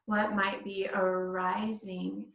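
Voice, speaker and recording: A woman yoga teacher leading a guided body scan meditation, speaking in short phrases with pauses between them. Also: medium pitch, flat delivery, vocal fry, a bit bright. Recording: echoey room, distant microphone.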